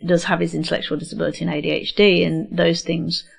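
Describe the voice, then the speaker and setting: A woman's voice: lowish voice, flat delivery, fast talker, gravelly, breathy edge. A middle-aged woman with a slight English accent, a radio interview guest in a studio, speaking candidly and reflectively with many hesitations. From England. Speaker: guest, neurodiversity educator. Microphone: studio microphone.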